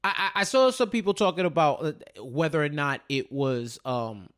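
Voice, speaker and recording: A man with a fairly high voice co-hosts a casual show recap. He is emphatic and slangy, often repeating a phrase to drive it home. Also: normal speed, fairly bright tone. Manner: colloquial, slangy. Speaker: podcast co-host.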